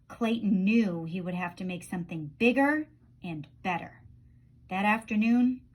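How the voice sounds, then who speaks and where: A woman reading a children's storybook aloud in an expressive storytelling style, letting phrase endings drop softly. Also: mid-range voice.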